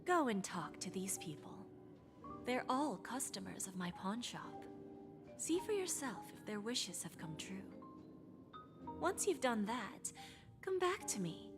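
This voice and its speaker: Game cast: a sly pawn shop owner, lilting whispery delivery, music underneath. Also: female voice, on the high side, sing-song, measured pace, pauses often. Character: smooth-talking woman pawn shop owner. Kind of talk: video game voice acting.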